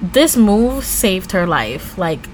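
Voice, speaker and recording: A woman hosting a true crime podcast, switching between scripted storytelling and slangy, informal, animated asides. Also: medium pitch, slow pace, slight background noise, rough recording.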